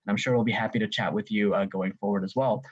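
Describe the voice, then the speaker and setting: A man's voice: fairly low pitch, steady tone, fast talker, husky. A man giving a casual business presentation on a video call, dotting his talk with "you know" and "right?". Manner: filler-heavy, many "you know" and "right?". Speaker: webinar presenter. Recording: dull lo-fi call audio.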